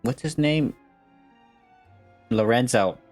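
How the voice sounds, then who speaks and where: A man's voice: medium pitch, slow pace, soft background music. A man casually reacting to a video game as a gaming host, laid-back and slangy.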